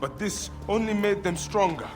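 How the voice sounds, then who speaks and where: An Egyptian warrior father in a game drama. He is earnest and declamatory, with very lively intonation, and music swells behind him. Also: sits high, moderate pace. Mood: solemn, rousing. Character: passionate grieving Egyptian warrior father, solemn brotherhood leader. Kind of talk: dramatic video game acting. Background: background music.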